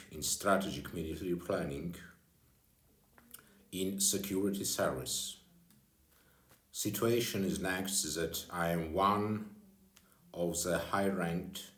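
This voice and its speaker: An older retired man, a native Armenian speaker, addresses his followers in slow, halting English with pauses and fillers. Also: low-pitched, roomy sound. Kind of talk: direct address to camera.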